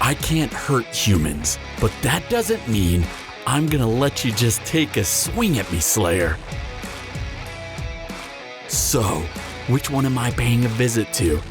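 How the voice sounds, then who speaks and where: A man's voice: mid-range voice, big pitch swings, music in the background. Man acting a smug, swooping flirtatious vampire. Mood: menacing, smug. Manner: teasing, smug drawl with dramatic pauses. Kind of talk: scripted audio roleplay, intimate character acting.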